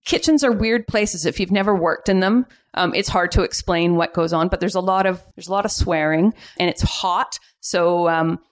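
A middle-aged woman with a Canadian accent, chatting casually and reminiscing as an interview guest, quick to laugh. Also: on the low side. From Vancouver, Canada. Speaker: podcast guest, cookbook author.

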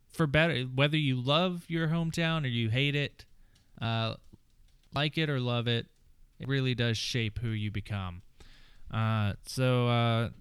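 Rambling podcast host, smooth and dry. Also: male voice, mid-range voice, no echo. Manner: rambling, filler-heavy intro. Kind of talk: recorded podcast intro.

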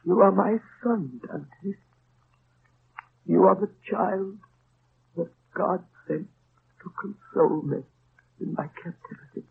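Frail creaky old dying priest. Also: male voice, sits high, exaggerated voice. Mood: tender, weakening. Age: older. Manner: halting, solemn, theatrical. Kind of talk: 1930s-40s radio drama acting. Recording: muffled, noisy old radio recording.

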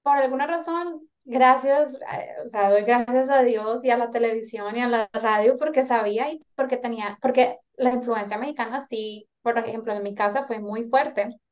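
A woman's voice: on the high side, normal speed, few pauses. A Colombian woman in her thirties, a guest in a casual interview, talking in an earnest, rambling and reflective way.

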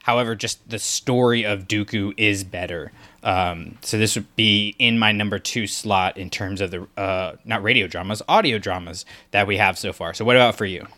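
A man co-hosting a podcast, talking casually with quick, chatty delivery and frequent fillers. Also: lowish voice, sharp tone. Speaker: podcast co-host.

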